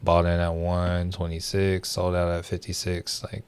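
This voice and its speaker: A man's voice: low voice, completely flat delivery, a little slow, a little mellow, clear, resonant tone. A young man hosting a livestream, chatting loosely with viewers, full of fillers and restarts. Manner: rambling, many fillers and restarts. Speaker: livestream host. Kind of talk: casual livestream chat with viewers.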